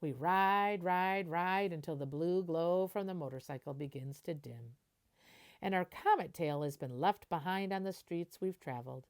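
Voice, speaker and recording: A woman reading a children's picture book aloud in an animated way, stopping to explain and translate as she goes. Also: fairly low pitch, normal speed.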